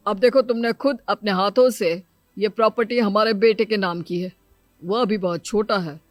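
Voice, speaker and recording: A woman's voice: mid-range voice, steady tone, normal speed, no room sound, faint music behind, lightly acted. A woman voicing a stern, authoritative elder brother and father who lectures, in a cartoon moral story.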